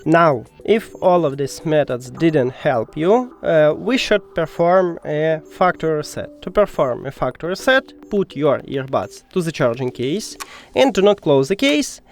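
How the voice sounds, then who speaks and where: Higher-pitched man giving a tech tutorial over music. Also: lively intonation, unhurried. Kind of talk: step-by-step how-to narration.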